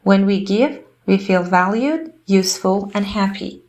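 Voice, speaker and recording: A woman's voice: mid-range voice, unhurried, darkish tone. A woman reading prepared passages aloud as scripted narration.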